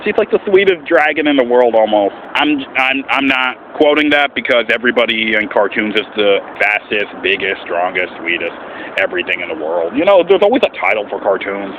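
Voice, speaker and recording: A man talking casually and earnestly in a vlog as an amateur voice actor, with an animated, swooping delivery. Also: lowish voice, fairly quick. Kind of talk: casual vlog explanation with voice demonstrations. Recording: muffled, faint background noise.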